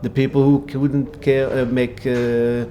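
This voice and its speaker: Man, monotone, mellow dark voice, halting speech. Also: medium pitch, slight background noise. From Belgium. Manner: halting, searching for words. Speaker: former coal miner, energy cooperative founder. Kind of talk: documentary interview.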